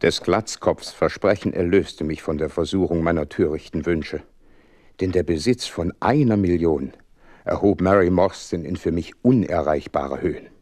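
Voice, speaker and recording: An actor playing a wistful, romantic gentleman doctor who narrates a radio drama in a measured, literary way with dramatic pauses. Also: sits low, a little hiss or hum.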